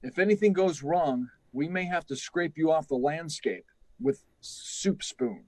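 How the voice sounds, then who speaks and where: A man's voice: fairly high pitch, animated, a little slow, a little mellow, no echo. A man playing a careful, insistent space project chief in a 1950s radio drama style. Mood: grave, insistent. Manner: insistent, instructing. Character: stern, careful male test project chief.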